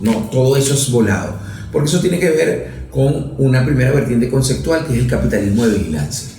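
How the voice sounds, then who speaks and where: A man's voice: mid-range voice, expressive, a little fast, dark tone. A man with a Venezuelan accent, a lawmaker being interviewed, explaining his ideas in a professorial, analytical way and using everyday examples. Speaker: lawmaker guest. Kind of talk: interview conversation. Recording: very echoey room.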